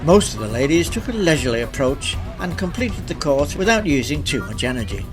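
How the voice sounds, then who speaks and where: A newsreel narrator delivers scripted commentary. The pitch is middling and moves about in a lively way, with music playing clearly behind the voice. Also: brisk.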